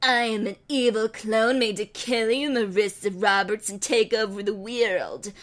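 A woman voicing a theatrical evil female clone villain who gloats and threatens in a drawn-out, menacing manner. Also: mid-range voice, fairly even intonation, lightly acted. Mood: gloating.

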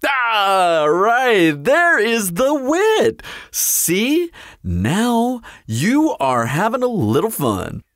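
Here is a cocky immortal male vampire, acted out by a man in an audio roleplay. He teases and flirts with sardonic, theatrical flair. His pitch leaps and dips wildly, his pace is unhurried, and the sound is dry and close. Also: wildly swooping pitch, fairly bright tone, no room sound, exaggerated voice. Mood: mock pondering, amused. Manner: playful, drawling, theatrical, sardonic. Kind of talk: scripted audio roleplay acting.

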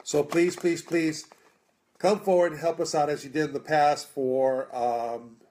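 An American man in his forties speaks off the cuff to his phone in a room, with a slightly high voice. He sounds earnest and halting as he makes a community appeal, repeating words to stress them. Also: steady tone, darkish tone, a little hiss or hum. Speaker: charity organizer making a video appeal. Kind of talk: casual, unscripted appeal to camera. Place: small indoor room. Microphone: phone at arm's length.

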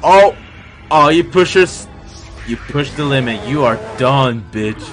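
Excitable young man reacting to a show. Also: medium pitch, slow pace, faint music behind. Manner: excitable, exclaiming and laughing at the screen. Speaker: reaction video host. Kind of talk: live reaction commentary. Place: small indoor room with curtains.

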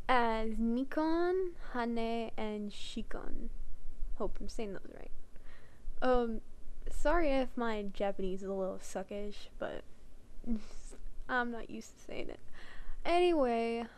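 A woman's voice: a little high, lively intonation, slow. A young woman giving a casual audition introduction in a hesitant, apologetic manner. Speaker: amateur voice actor auditioning.

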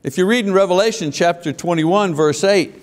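Animated man preaching, lapel mic in church. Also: high-pitched, fairly quick. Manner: animated, gesturing, asks the congregation questions. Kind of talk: sermon. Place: church sanctuary at a wooden pulpit. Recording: long hall reverb.

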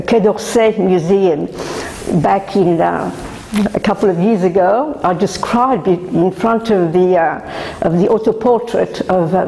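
An older woman, an artist talking casually at a gallery opening, halting with many fillers and restarts. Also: mid-range voice, vocal fry, mellow, roomy sound, poor audio. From Australia. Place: indoor gallery room.

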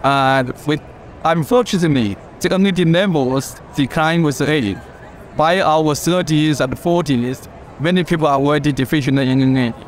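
An older man explaining health science in a measured, informative way at an expo booth. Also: a little high, a little hiss or hum. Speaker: interviewee. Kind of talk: explanatory expert talk.

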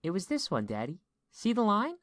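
A man voicing an innocent, eager little schoolgirl in an audiobook story, with a childlike, excited manner. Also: sits high, moderate pace, no echo, clean studio sound, pitched above the performer's own voice, exaggerated voice.

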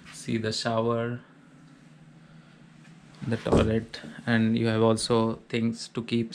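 Flat-voiced man giving a room tour. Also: mid-range voice, slight background noise. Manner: plain, matter-of-fact listing of features. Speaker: vlogger giving a house tour. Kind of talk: casual walkthrough narration. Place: small student apartment indoors.

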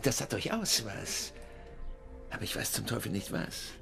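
A genteel old gentleman of a radio drama, with extremely animated pitch and a creaky edge. He muses courteously and hesitantly over music. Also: normal speed. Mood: musing. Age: older. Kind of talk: German radio play acting.

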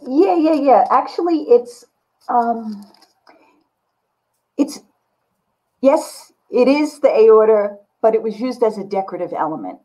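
Husky-voiced older woman, chatting informally. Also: on the high side, expressive, measured pace, long pauses, mellow, low-quality recording. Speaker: visual artist, guest. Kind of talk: casual chat explaining own artwork. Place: book-lined home studio, indoors. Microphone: computer mic on a video call.

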